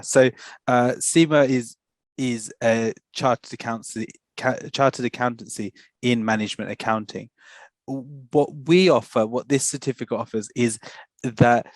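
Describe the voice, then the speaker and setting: A man's voice: mid-range voice, no echo. A young man, a course tutor, answering a question over a headset on a video call, hesitant and repeating words as he explains.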